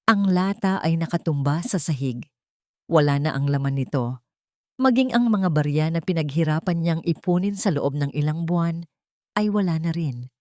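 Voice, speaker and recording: A woman's voice: deep voice, darkish tone, dry recording, studio quality. A woman reading scripted, dramatic narration as the storyteller of an audio drama.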